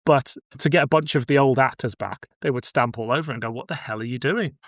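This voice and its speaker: A man's voice: mid-range voice, fast talker, no room sound, studio quality. A middle-aged man hosting a podcast, chatting casually and giving opinions in colloquial language. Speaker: podcast host. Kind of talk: casual chat about cult science fiction.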